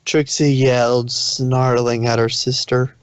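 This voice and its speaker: A man's voice: mid-range voice, level tone, takes it slowly, smooth, dry recording. A man narrating a story aloud as a fan fiction reader, occasionally stumbling over the text.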